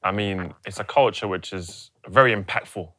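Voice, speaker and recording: A young man from former Yugoslavia, raised in England, hosting a relaxed, enthusiastic chat. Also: deep voice, moderate pace, dry recording. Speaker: podcast host. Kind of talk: casual chat, interviewing.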